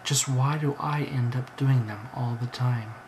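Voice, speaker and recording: A man's voice: medium pitch, steady tone, measured pace, natural voice. Man playing a tired, skeptical office worker, faint noise. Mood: wistful musing. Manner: puzzled, dismissive, musing to himself. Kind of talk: storybook character voice.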